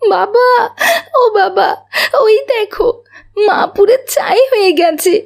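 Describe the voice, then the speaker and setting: Woman acting very high, distressed little girl. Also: slow pace, vocal fry, darkish tone, heavily acted. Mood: distraught. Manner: crying out, dramatic. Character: distressed little daughter calling her father.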